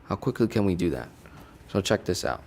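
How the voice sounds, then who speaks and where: A man's voice: sits low, sing-song, a little fast, gravelly, a little mellow, slight background noise. A man casually walking viewers through startup costs as a finance educator, playful and chatty, constantly checking in with "right?". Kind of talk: casual teaching walkthrough. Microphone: studio desk mic.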